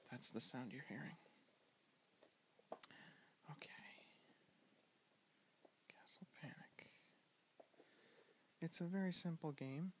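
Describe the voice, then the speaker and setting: A young man with a soft, gentle voice talks a listener through a board game, often sinking into a breathy whisper. His voice sits a little high for a man, and he hesitates with ums as he goes. It sounds picked up by a handheld camera mic. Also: animated, drawn-out words, long pauses. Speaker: ASMR board game teacher. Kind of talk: soft-spoken ASMR tutorial, often whispering.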